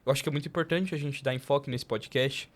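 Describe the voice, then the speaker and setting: A man's voice: medium pitch, fast. A man with a Brazilian accent hosting a podcast in casual conversation. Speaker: podcast host.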